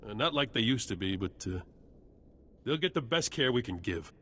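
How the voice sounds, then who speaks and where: A man's voice: medium pitch, animated, normal speed, a bit bright. An actor playing a hearty, earnest military officer in a video game, folksy and loyal to his soldiers. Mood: relieved, caring.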